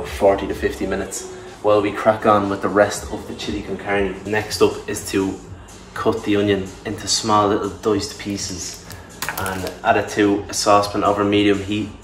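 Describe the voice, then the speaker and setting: Young male vlogger, low flat voice, off-mic in a roomy kitchen. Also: normal speed, few pauses, far from the mic, music in the background. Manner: relaxed, chatty, self-deprecating. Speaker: fitness vlogger. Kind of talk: casual cooking walkthrough. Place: large home kitchen, indoors. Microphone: camera mic at a distance.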